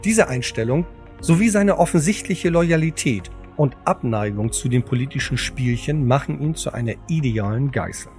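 Music plays behind a man as he narrates an audio drama. He reads from a script in a mid-pitched voice, telling the story as its narrator. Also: expressive, moderate pace, a little mellow. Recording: voice over background music.

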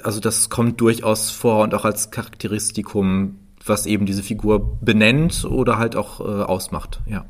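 A man's voice: fairly low pitch, fairly even intonation, normal speed, hardly any pauses. A man co-hosting a podcast in casual discussion, often chiming in to agree. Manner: often affirms the others with short agreeing interjections. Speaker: podcast co-host.

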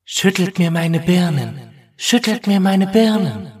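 High, slow, calling enchanted tree. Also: male voice, big pitch swings, darkish tone, distant mic, exaggerated voice. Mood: pleading, calling. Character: enchanted talking oven, pear tree and vine begging for help. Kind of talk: fairy-tale audio play acting.

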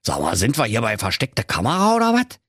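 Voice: medium pitch, wildly swooping pitch, fairly quick, a bit bright, slightly rough, dry recording, clean studio sound, pitched above the performer's own voice, exaggerated voice. A performer voicing a grumpy, fearful old male miser with a Berlin accent, indignant and pleading, in a comic storybook tale.

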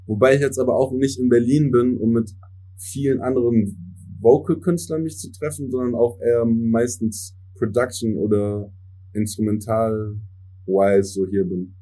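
A man's voice: medium pitch, warm tone, husky. A young man in his twenties whose first language is English, chatting casually as a podcast guest, dropping slang and English words between many fillers. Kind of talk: casual interview chat.

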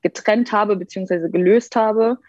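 A woman's voice: medium pitch, normal speed, a little mellow, no echo. A young woman from Berlin, a rapper, joining an interview by video call and answering thoughtfully with many hesitations. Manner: thoughtful, many ähm fillers. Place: joining remotely. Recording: dull lo-fi video call audio.

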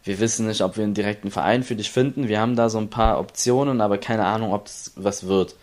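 A young man from Berlin with a lowish voice tells how his esports career began. His talk rambles and restarts often, and he slips into quick impressions of the people he met. The feel is a casual, loose interview. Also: steady tone, a little mellow. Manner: rambling, many restarts, acts out others' lines. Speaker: esports player.

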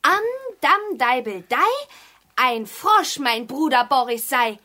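A woman's voice: a little high, lively intonation, takes it slowly, pushed and bright, heavily acted. A woman playing a cheeky, stubborn young witch girl in a children's radio play. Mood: squabbling.

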